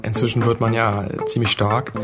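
Man interviewed over loud music. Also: lowish voice, little pitch movement, moderate pace. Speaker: interviewee, former piracy scene member. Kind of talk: informal interview talk. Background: instrumental music.

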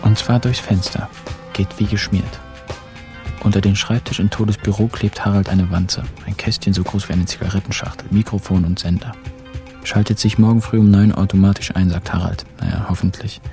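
An actor playing a wry, laconic pensioner who narrates a radio crime drama in the first person. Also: male voice, fairly low pitch, fairly even intonation, moderate pace, over music, lightly acted.

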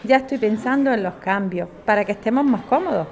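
A woman's voice: medium pitch, a little fast, a little mellow, natural voice. A woman with a Spanish accent from Spain playing a resolute older mother in a radio serial. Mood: calm, assured. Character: warm, resolute mother starting life with a companion. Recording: crowd chatter behind the voice.

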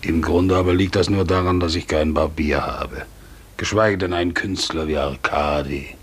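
A gloomy, fearsome nobleman in an old radio drama. He speaks slowly and heavily, in a deep voice with a rough, husky edge. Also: fairly even intonation. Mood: grim. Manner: slow, heavy, deliberate. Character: count's fearsome-looking, ugly brother, gloomy nobleman. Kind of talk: 1950s German radio play monologue.

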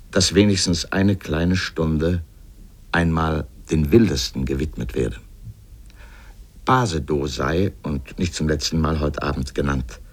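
Biting, learned essayist for radio drama; very low voice, rhetorical, grandly ironic delivery. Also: male voice. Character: erudite, polemical male literary essayist with biting irony. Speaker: speaker in a literary radio essay. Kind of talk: scripted literary radio essay narration.

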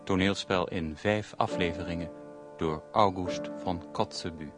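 A man's voice: deep voice, steady tone, a little slow. A man reading a scripted announcement that introduces a radio play. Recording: loud piano music behind. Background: piano music.

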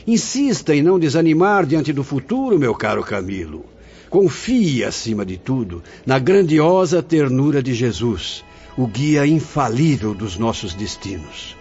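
A man's voice: fairly high pitch, a little mellow, breathy edge, full character voice. A Brazilian man playing a wise, fatherly spirit director in a radio drama, speaking in a solemn, formal, preaching way. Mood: fatherly, exhorting. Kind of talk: Portuguese-language radio drama acting. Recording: dull lo-fi radio drama audio.

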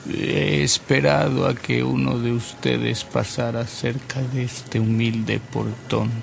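An actor playing a devout, earnest older man in a Mexican radio drama, speaking solemnly and deliberately with dramatic pauses. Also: mid-range voice, level tone, gravelly, background noise. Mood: solemn, reverent. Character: frail, devout older man, a converted skeptic, reverent and earnest.

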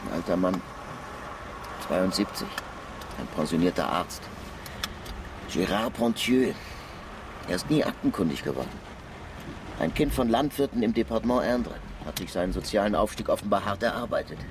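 Matter-of-fact police inspector, street noise. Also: male voice, low-pitched, expressive, moderate pace, long pauses. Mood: matter-of-fact, subdued. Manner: matter-of-fact, clipped reporting. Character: dry, learned male police inspector, methodically reporting. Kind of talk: radio crime drama dialogue.

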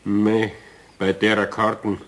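A man playing a gruff, jovial card-playing crony with a Bavarian accent in a radio play, grumbling in drawn-out phrases. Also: lowish voice, completely flat delivery, a bit bright.

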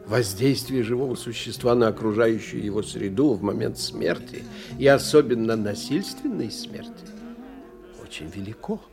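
An actor playing a learned older professor in an audio drama, lecturing in a theatrical, condescending manner. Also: male voice, mid-range voice, lively intonation, moderate pace, music in the background, lightly acted. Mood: expounding, patronizing. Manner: didactic, theatrical, condescending to his young friend. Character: animated, learned older professor investigating psychic phenomena.

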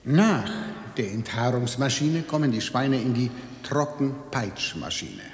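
A man's voice: medium pitch, sing-song, measured pace, slight background noise. A man acting in a radio drama as an evasive, officious slaughterhouse manager who guides a tour with pedantic precision and veiled menace. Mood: clinical, matter-of-fact. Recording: echoey hall with long reverb.

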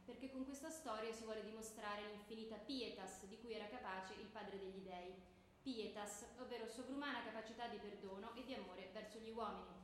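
A woman's voice: on the high side, lightly acted. Woman playing didactic schoolmistress, very echoey. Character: schoolmistress explaining myths, correcting and didactic. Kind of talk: mock lecture in comic radio theatre. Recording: very echoey room with faint noise.

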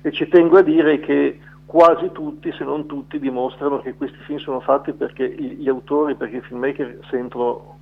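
A man's voice: medium pitch, steady tone, normal speed, gravelly, few pauses. A middle-aged man giving an unscripted interview, hesitant and often circling back to correct himself as he explains. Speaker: film festival selection curator, interview guest. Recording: thin, narrow phone line.

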